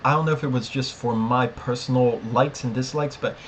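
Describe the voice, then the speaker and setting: A man's voice: mid-range voice, fairly even intonation, a little fast. A man co-hosting a podcast, giving opinionated, emphatic fan commentary in a casual review chat. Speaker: podcast co-host. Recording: clear background noise.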